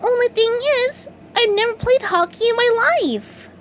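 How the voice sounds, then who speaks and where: A woman voicing an eager, cheerful young male sea sponge in a storybook reading, high and excited. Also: moderate pace, dull audio, a little hiss or hum.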